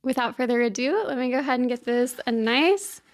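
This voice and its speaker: A woman from talks straight to her viewers in a casual book vlog. Her voice sits a touch on the high side, and her manner is chatty and upbeat, full of little asides. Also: fairly quick. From Kansas City, US. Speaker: book vlogger. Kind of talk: casual chat to camera.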